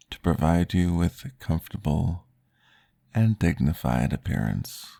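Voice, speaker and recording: A man voicing a courteous male vampire guiding a therapy session in a formal, polite, soft-spoken ASMR roleplay, recorded close to the mic. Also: extremely low pitch, gravelly, no echo, exaggerated voice.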